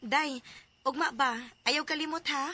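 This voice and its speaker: Bright, high, eager Cebuano teenage girl. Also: unhurried, soft background music. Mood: eager, insistent. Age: young adult. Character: eager, insistent teenage girl friend of eighteen. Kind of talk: radio drama acting.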